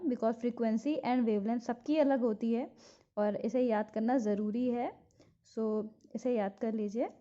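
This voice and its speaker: Female teacher, Indian accent, slow and soft-toned, explanatory study-notes delivery, muffled low-fidelity sound. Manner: explanatory, repeats key points for memorizing. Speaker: physiotherapy teacher. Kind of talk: instructional lecture from study notes. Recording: dull lo-fi recording.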